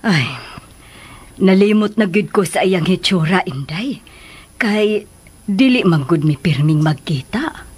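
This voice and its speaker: A woman acting a middle-aged, motherly confidante who gives calm advice, in a Filipino radio drama with a Filipino accent. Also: lowish voice, slow pace, pauses often, slight background noise. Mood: advising. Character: motherly older confidante, called Ma'am, advising calmly.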